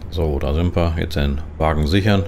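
A man from Germany giving relaxed, conversational commentary in character while playing a truck driving game. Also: rumbling low, normal speed. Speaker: gaming channel host. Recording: clear engine and vehicle noise behind. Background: truck simulator game sound.

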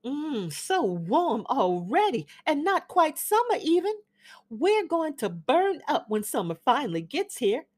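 A woman's voice: high-pitched, big pitch swings, unhurried. A woman reading a play aloud, voicing a genteel older Southern mother who chatters and gushes in a Southern accent. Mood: gushing. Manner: gushing, chattering, flutters up and down. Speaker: reader of a classic play.

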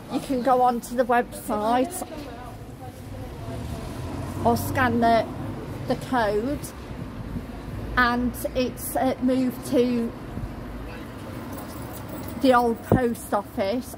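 A woman in her thirties walking city streets and pointing out shops and sights, talking haltingly with many ums and uhs into a phone camera held at arm's length. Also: a little high. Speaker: travel vlogger. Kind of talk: walk-and-talk vlog narration. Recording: street noise behind the voice. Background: traffic and street ambience.

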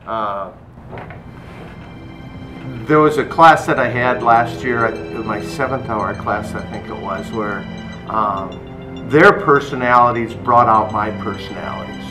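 A man's voice: medium pitch, slow, music in the background, low-quality recording. A middle-aged male teacher reading reviews aloud and reacting casually in a classroom. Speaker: math and computer science teacher. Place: indoor classroom.

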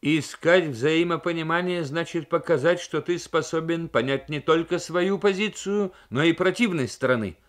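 Man acting out male diplomat, earnest, firm, measured, raised pitch, literary radio reading. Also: close to an everyday voice. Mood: firm retort. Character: composed Soviet diplomat, a man, thoughtful and firm.

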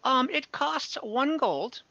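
An older elf woman keeping a fantasy shop, played by a game master, lively and bright. She is welcoming and businesslike, heard over a slightly muffled game call. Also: sits high, slow, gravelly, dry recording, voice pushed up, heavily acted. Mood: polite, businesslike. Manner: effusive greeting, polite sales talk.

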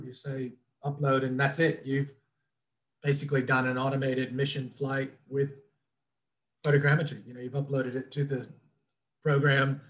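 A middle-aged man explaining things informally as a trainer in an online webinar, in a casual, loosely worded way, recorded indoors over a video call. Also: medium pitch. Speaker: drone trainer presenting a webinar. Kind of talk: informal instructional presentation over slides.

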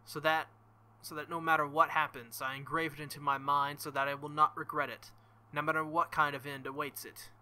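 A man's voice: a little high, flat delivery, a little fast, few pauses, pushed and bright. A young man reading a visual novel aloud as a let's play host, with joking, crude asides between passages.

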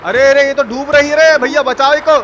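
A young man with a Bihari accent and a high-pitched voice livestreams a video game. He chats casually and colloquially with viewers, throwing in playful exclamations. Game sound runs as a clear noise under his voice. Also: steady tone, fairly quick, fairly bright tone, muffled recording. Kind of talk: casual live gameplay commentary, chatting with viewers.

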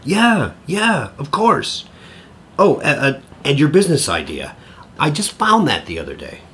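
An awkward middle-aged man in an audio play, eager to please. His speech is halting and earnest, with a very lively pitch. There is clear ambient noise behind him. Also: exaggerated voice. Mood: flustered, apologetic. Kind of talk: naturalistic audio drama acting.

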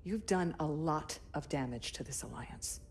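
An actress playing a poised, commanding rebel senator in a video game, measured and authoritative. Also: female voice, sits low, animated, slight background noise. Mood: earnest. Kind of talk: cinematic video game acting.

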